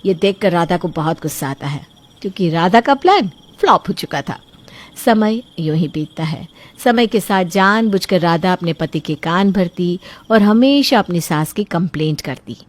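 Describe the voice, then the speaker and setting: A woman narrating a scripted family story in an even, storytelling manner. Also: fairly low pitch, moderate pace.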